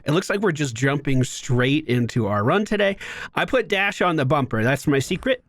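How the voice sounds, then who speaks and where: Upbeat, high-pitched man doing gameplay commentary. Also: lively intonation, normal speed, no room sound. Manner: upbeat, playful. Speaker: gaming host.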